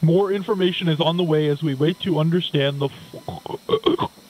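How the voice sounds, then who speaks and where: A man's voice: on the high side, normal speed, a little mellow, slight background noise, close to an everyday voice, poor audio. A man playing a grave emergency news announcer in an audio drama, formal and ominous. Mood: grave, urgent. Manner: formal, ominous bulletin delivery.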